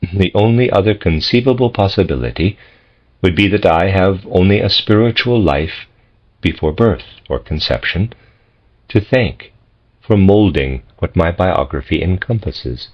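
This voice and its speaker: A man reading a philosophical text as scripted narration, in measured, deliberate phrases with pauses. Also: deep voice, expressive. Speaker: audiobook reader. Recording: dull, lo-fi audio.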